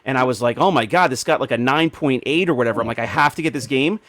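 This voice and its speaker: A man's voice: mid-range voice, fast talker, fairly bright tone, no echo, studio quality. A man hosting a podcast, chatting casually with a group. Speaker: podcast host.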